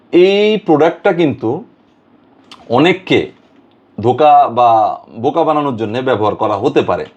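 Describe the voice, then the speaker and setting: A man's voice: mid-range voice, a little mellow, highs cut off. A Bangladeshi man hosting a casual product demonstration, mixing English words and phrases into his talk. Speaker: product reviewer and video host.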